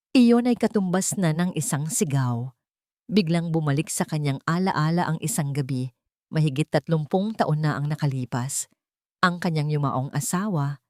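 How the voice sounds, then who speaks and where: A woman's voice: low voice, darkish tone, no echo. A woman narrates a scripted drama in the manner of an audiobook. The sound is dry, with very little room in it.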